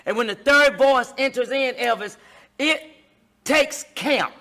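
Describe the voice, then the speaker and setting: A woman's voice: sits high, measured pace, bright, forceful tone. A middle-aged woman hosting a relationship advice talk, speaking emphatically in a repetitive, sermon-like cadence. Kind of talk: preaching-style advice talk.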